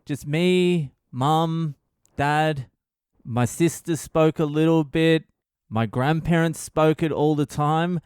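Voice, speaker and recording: A man voicing a very old farmer father who gives slow, flat, unbothered answers. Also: on the high side, smooth, no echo, clean studio sound, voice pushed up. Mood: matter-of-fact.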